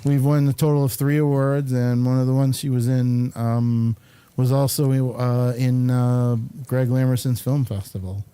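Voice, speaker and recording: A man with a soft, mellow, slightly low-toned delivery hosts an informal chat show. He talks in a halting, conversational way, with a chuckle often close by. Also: flat delivery, clear, resonant tone, no room sound. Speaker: show host and film maker. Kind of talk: casual studio interview chat.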